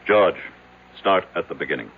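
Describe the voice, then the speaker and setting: A man's voice: low voice, lively intonation, moderate pace, a little hiss or hum. An actor in an old-time radio drama playing a skeptical, domineering rich businessman who questions curtly and gives orders. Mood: impatient, demanding. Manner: curt, commanding, interrogating. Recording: tinny, band-limited old radio broadcast.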